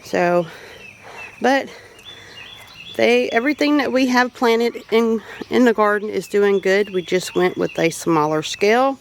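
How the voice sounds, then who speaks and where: A woman's voice: mid-range voice, measured pace, gravelly, a little hiss or hum. Casual homestead vlogger: chatty, folksy Southern US woman, mid-range voice, recorded outside with light animal and bird ambience. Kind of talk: casual garden tour narration. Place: outdoors in a home garden. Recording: outdoor ambience with animal noises. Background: birdsong and farm animal sounds.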